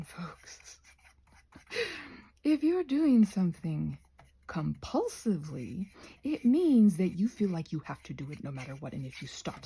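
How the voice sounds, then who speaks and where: A woman with a very husky, breathy voice that often slips toward a whisper. It sits on the low side and is gravelly at the edges. She rambles casually and playfully, cutting herself off with little asides. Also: mellow. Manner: playful, self-interrupting, trailing asides. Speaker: craft and ASMR video creator. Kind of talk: casual rambling vlog chat while crafting.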